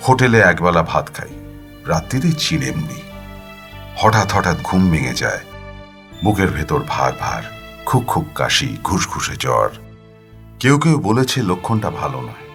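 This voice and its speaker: A man narrating a first-person story dramatically, with an expressive, weary, plaintive delivery. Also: low-pitched. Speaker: audiobook narrator.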